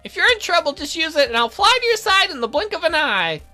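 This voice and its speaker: Woman voicing a visual-novel attendant, high-pitched, bright, prim, snippy, protective and fussily devoted. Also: big pitch swings, fast, soft background music, full character voice. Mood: earnest, worried.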